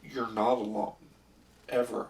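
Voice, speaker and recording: A middle-aged man in casual, reflective conversation about faith, speaking in a halting, thoughtful way. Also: fairly low pitch, steady tone, vocal fry. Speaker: podcast co-host. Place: small room with foam panels. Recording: distant mic in a room.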